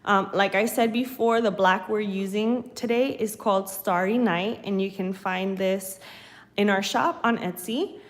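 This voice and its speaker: Woman with a cold, sniffly, unhurried delivery, live craft tutorial, phone recording over a table. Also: medium pitch, fairly even intonation. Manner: slow, chatty, talks to viewers while working. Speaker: craft channel host. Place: home craft table, indoors.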